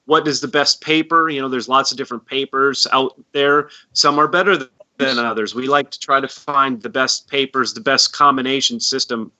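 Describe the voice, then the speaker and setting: A man's voice: medium pitch, moderate pace, hardly any pauses, sharp tone, rough recording. A man speaking as a guest company expert, informally explaining things in an earnest, helpful way. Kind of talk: informal interview answers.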